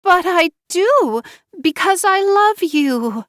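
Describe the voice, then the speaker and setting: A high, wheedling young wife who whimpers and sobs her pleas, put on by a woman reading aloud. She draws her words out slowly in a breathy little-girl whine. The recording is close and dry. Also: animated, fairly bright tone, dry recording, full character voice. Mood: tearful pleading. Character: manipulative young woman, whiny and tearful.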